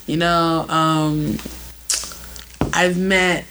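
A woman's voice: deep voice, flat delivery, drawn-out words. Woman, twenties, from Florida, podcast co-host, casual slang, faint background hiss. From West Palm Beach, Florida. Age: 20s. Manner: laid-back slangy talk. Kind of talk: casual chat.

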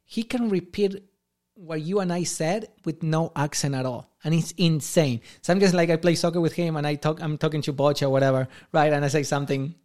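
A man speaks English with a strong Argentinian Spanish accent, a Spanish native guesting on a casual podcast. His voice sits a little high for a man, and he tells his stories with animated, exclamatory energy. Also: brisk. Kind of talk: casual chat.

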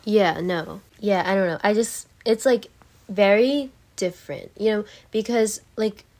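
A woman's voice: mid-range voice, measured pace, a little mellow. A teenage girl being interviewed as a podcast guest, rambling with many fillers and telling stories animatedly. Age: teens. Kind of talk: casual chat.

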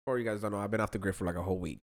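A man's voice: on the low side, fairly even intonation, rapid-fire. A man in his thirties from Chicago, co-hosting a casual podcast, joking and dropping bro and dude, sounding worn out from little sleep. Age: 30s. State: tired from short sleep. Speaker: podcast co-host. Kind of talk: casual chat.

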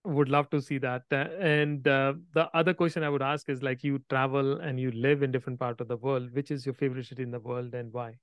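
A man hosting a podcast interview, friendly and a bit halting as he asks questions. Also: medium pitch, little pitch movement, smooth, dry recording. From India. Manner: friendly, halting phrasing with restarts. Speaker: podcast host.

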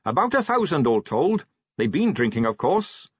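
A man's voice: fairly high pitch, very animated, moderate pace, breathy edge, no echo, pitched above the performer's own voice. An earnest, dutiful captain of the watch, acted by a male narrator, speaking with very varied intonation. He is polite, sincere and deferential, a fantasy audiobook figure in a slightly dull recording. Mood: dutiful, brisk.

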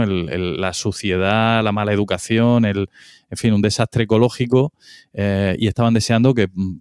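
Host, male, from Spain, lowish smooth voice, halting delivery, dry close mic. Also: darkish tone, dry recording. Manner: hesitant, many fillers and restarts. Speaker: podcast host. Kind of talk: casual discussion.

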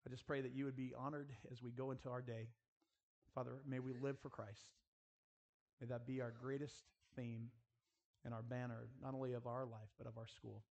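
A man's voice: medium pitch, flat delivery, moderate pace, vocal fry, darkish tone, lo-fi sound. Man preaching an earnest sermon. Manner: earnest, exhorting, direct address to listeners. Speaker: preacher at a school chapel. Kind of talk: sermon with rhetorical questions and prayer.

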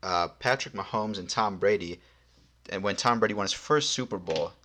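Young man, lower voice, podcast co-host, easygoing, lots of ums. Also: moderate pace, sharp tone. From United States. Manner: easygoing, frequent "um" and "uh". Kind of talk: casual podcast chat.

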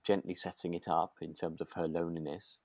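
Guy podcast host, low voice, dry close sound, chatting and sort of thinking out loud. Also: flat delivery, brisk, no room sound. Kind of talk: relaxed casual chat about a radio drama.

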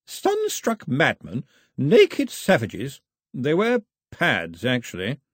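Man as dry, firm upper-class father, clipped and understated, somewhat raised, husky voice. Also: medium pitch, wildly swooping pitch, slow pace, no room sound, voice pushed up, exaggerated voice. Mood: breezy.

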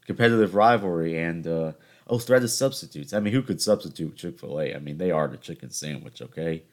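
Southern-raised twenties male host, New York-tinged accent, low pitch, loose self-mocking storyteller. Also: expressive, brisk, darkish tone. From Charleston, South Carolina. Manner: rambling, joking, self-mocking. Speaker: podcast host. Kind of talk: casual solo podcast storytelling.

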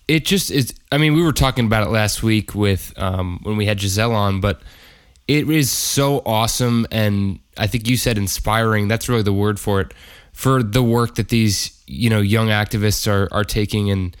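A laid-back man co-hosting a podcast, with a lowish voice and a jokey, easygoing manner. He sometimes stumbles and restarts mid-sentence. His voice sounds dry and close, with no room around it. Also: normal speed, clear, resonant tone, no room sound. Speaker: producer and co-host. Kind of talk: casual podcast chat.

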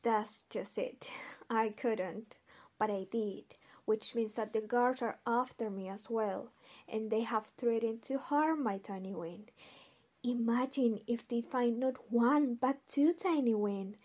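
A woman playing an excitable, awestruck young fugitive in an audio drama. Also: a little high, slow pace. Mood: worried.

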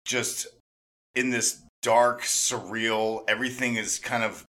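A man co-hosting a podcast in casual banter, excitable and emphatic, often repeating himself. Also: mid-range voice, slow pace. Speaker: podcast host.

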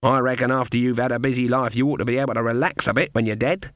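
A man voicing a sharp, stubborn old female witch with rustic, plain-spoken speech in a storybook reading. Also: mid-range voice, steady tone, quick pace, no echo, studio quality, natural voice. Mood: muttering, disapproving.

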